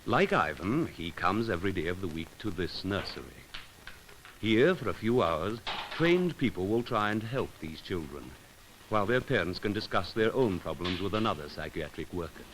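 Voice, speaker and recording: A man reading scripted narration for an educational film, in a measured, solemn and poetic way with deliberate pauses. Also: sits low, very animated, background noise. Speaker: documentary narrator.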